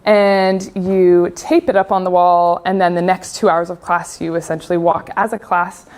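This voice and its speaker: A woman's voice: fairly low pitch, level tone, normal speed, a little mellow. A young woman with a Canadian accent giving a casual, chatty conference talk on design. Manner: casual, chatty with frequent fillers. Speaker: conference speaker.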